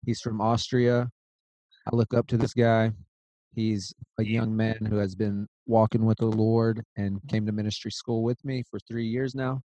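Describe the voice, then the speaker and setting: A man's voice: fairly low pitch, flat delivery, moderate pace, warm tone, no room sound, rough recording. A man hosting a podcast, introducing a guest with playful, hyped-up banter. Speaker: podcast host. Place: Redding, California.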